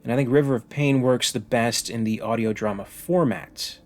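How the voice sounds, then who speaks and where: A man hosts a fan review channel, reading a scripted video essay. His voice sits at an ordinary middle pitch, and he presents in the manner of a prepared narration. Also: normal speed, a little mellow.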